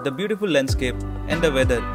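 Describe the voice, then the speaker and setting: A man's voice: mid-range voice, lively intonation, fast talker. A man with an Indian accent presenting a travel vlog as its host, narrating and speaking to the camera. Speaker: travel vlogger. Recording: loud music behind the voice.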